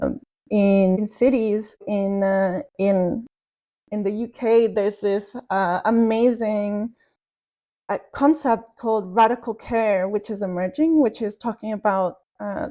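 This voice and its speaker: A woman's voice: mid-range voice, warm tone. A woman from Venezuela, a researcher guest on a podcast, giving a long reflective answer with many fillers and restarts.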